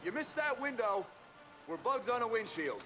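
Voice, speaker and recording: An actor playing an earnest, urgent soldier hero in a video game, with a straining delivery. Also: male voice, extremely high pitch, sing-song, moderate pace, noisy background. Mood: wry.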